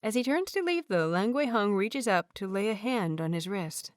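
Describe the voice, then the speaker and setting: A woman narrating a fanfiction audiobook in a steady storytelling voice. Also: medium pitch, moderate pace, dry recording, clean studio sound.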